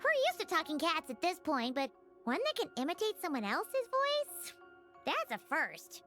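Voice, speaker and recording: A woman voicing a tiny, childlike floating game companion who is feisty and indignant, with a squeaky voice. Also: wildly swooping pitch, normal speed, fairly bright tone, full character voice. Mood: surprised. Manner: exclamatory, protective of friends. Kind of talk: video game voice acting. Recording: music under the voice.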